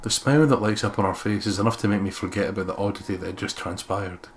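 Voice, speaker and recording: A man's voice: fairly low pitch, fairly even intonation, quick pace, a little hiss or hum. A man hosting a let's play, reading visual novel narration aloud with some casual commentary.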